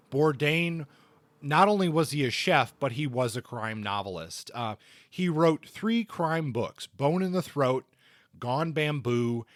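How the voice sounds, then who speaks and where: Man reviewing books, halting and thoughtful. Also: a little high, a bit bright, no echo, studio quality. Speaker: podcast host and book reviewer. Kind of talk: casual book review talk.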